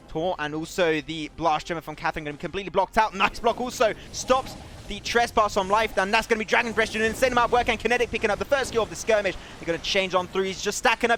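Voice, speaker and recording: A male esports caster giving excitable play-by-play commentary with a hoarse, fading voice. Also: sits high. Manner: excitable, rapid play-calling. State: hoarse, losing his voice.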